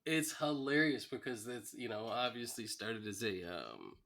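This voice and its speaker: A man's voice: mid-range voice, animated, a little slow, gravelly, fairly bright tone. A man with a mid-range voice co-hosts a podcast. He gives casual commentary in an everyday conversational style. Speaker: podcast co-host.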